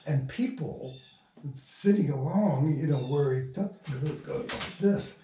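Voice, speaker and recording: An older man telling anecdotes in an interview, halting and often interrupting himself in informal, salty language. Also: a little high, warm tone. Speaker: interviewee, radio drama producer. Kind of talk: rambling anecdotal storytelling in an interview. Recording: echoey, distant mic, faint noise.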